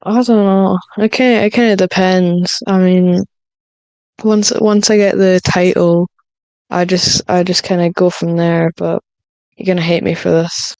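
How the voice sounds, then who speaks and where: A woman's voice: lowish voice, darkish tone, smooth, no room sound. A woman chatting casually as a podcast guest, offhand and self-deprecating. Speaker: script writer and podcast guest. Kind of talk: casual chat.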